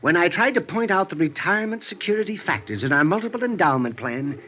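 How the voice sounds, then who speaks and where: A man's voice: sits high, lively intonation, fast talker. An actor playing a small, nervous, eager-to-please male insurance salesman in a 1950s radio drama, rambling and stammering in a prissy, flustered way. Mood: apologetic, anxious. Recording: dull, noisy 1950s radio recording.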